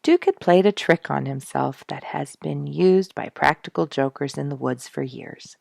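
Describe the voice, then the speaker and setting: A woman reading a story aloud as an expressive, deliberate narrator. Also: low voice, creaky, dry recording, clean studio sound.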